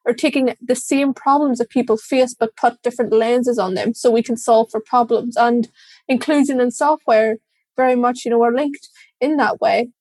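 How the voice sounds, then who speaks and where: A woman's voice: on the high side, fairly even intonation, normal speed, darkish tone, no echo. Irish woman, candid interview guest. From Ireland. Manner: reflective, candid, with light laughs. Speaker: guest, diversity and inclusion director. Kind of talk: interview answers.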